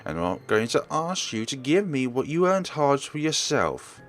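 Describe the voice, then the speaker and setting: Man reading as a stubborn young woman, animated. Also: mid-range voice, moderate pace, faint music behind, voice pushed up, natural voice. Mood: grateful, insistent. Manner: protesting, earnest. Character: stubborn, proud young woman, caring best friend. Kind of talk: visual novel dialogue read aloud.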